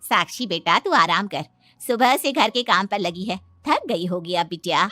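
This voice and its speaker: A woman's voice: medium pitch, lively intonation, normal speed, pushed and bright, no echo, faint music behind. A woman voicing a protective, middle-aged mother-in-law in a cartoon moral story, motherly, firm and emphatic. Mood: doting.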